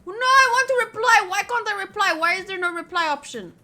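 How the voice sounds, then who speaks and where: A woman's voice: very high voice, lively intonation, brisk, pushed and bright. A woman streaming a game, reading its text aloud and chatting casually with playful asides.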